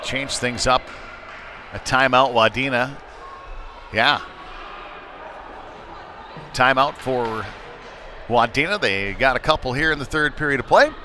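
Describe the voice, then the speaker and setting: A man's voice: medium pitch, slow, pauses often. A man doing live play-by-play hockey commentary in clipped running phrases that lift with the action. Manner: clipped running phrases, excited on big plays. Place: indoor ice rink during a game. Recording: clear rink noise behind the voice.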